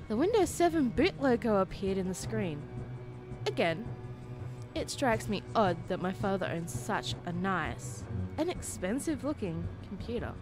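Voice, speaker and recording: Woman narrating horror over music. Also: medium pitch. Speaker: narrator reading horror stories for listeners. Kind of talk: dramatic scripted horror story narration. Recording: music behind the voice. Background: background music.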